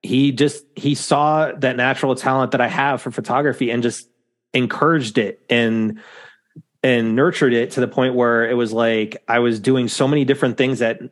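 A man's voice: medium pitch, steady tone, normal speed. A man hosting a podcast in casual chat, rambling with lots of fillers. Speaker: podcast host.

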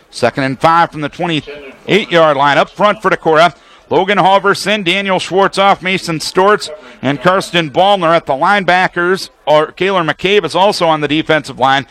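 A man's voice: high voice, moderate pace, bright, forceful tone. An American man calling football play-by-play as a radio announcer, in precise, detailed, clipped phrases. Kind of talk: live sports play-by-play commentary.

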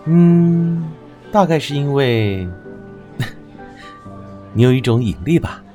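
An actor playing a sweet, attentive young male suitor in a radio drama, friendly and gently teasing, with a Taiwanese Mandarin accent. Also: on the high side, lively intonation, slow, faint music behind. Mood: smitten.

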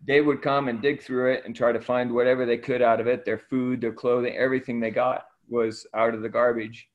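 Middle-aged man, mid-pitched, conversational storyteller as interview guest, slightly dull lo-fi recording. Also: little pitch movement, brisk. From Ecuador, raised by missionary parents. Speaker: interview guest, missionary aid worker.

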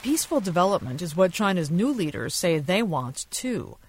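A woman's voice: on the low side, big pitch swings, moderate pace, breathy edge, no echo. Woman reporter, dry close studio sound. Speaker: foreign correspondent. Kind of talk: scripted radio news report narration.

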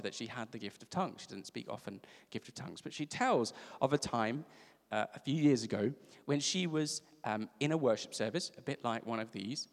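Man preaching in a reverberant hall. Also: medium pitch. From South Africa. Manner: conversational, warm storytelling with emphatic repetition. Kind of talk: sermon. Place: church service.

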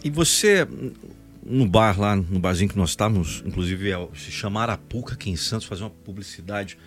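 A man's voice: on the low side, lively intonation, fairly quick, faint music behind. A man in his forties with a Brazilian São Paulo state accent, telling stories expressively and emphatically in a casual podcast conversation. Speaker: podcast host, voice-over announcer and actor.

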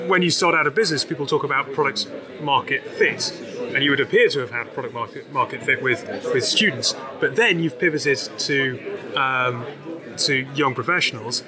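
A man hosting a casual interview, chatty and asking quick follow-up questions. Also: fairly high pitch. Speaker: interview host.

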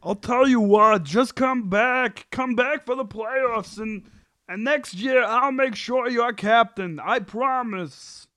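A very high-voiced, whiny grown son from a sitcom acted out by a man. He takes his time, pleading and making defensive excuses with emphasis, in a dry recording. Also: slow pace, pushed and bright, no room sound, heavily acted. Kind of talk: sitcom table read.

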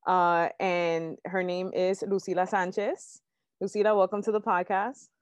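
A woman's voice: on the low side, flat delivery, unhurried, dry recording. A woman hosting a podcast, welcoming a guest with enthusiasm and rambling chatty asides. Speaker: podcast host. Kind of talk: casual podcast chat. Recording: dry, dull lo-fi sound.

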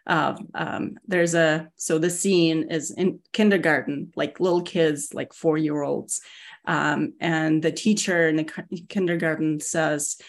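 A woman in her forties from Russia with a Russian accent, speaking casually as an interview guest, relaxed and hesitant with many pauses. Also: low voice. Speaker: guest, security executive.

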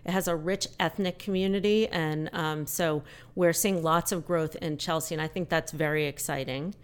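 Middle-aged woman, podcast guest in real estate PR; low voice, smooth delivery, measured and upbeat. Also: steady tone. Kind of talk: podcast interview.

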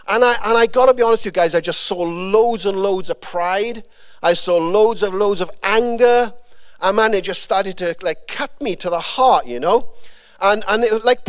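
A Welsh man giving a conversational sermon with a personal testimony, rambling and informal with frequent fillers and restarts. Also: high-pitched. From Wales. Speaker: preacher.

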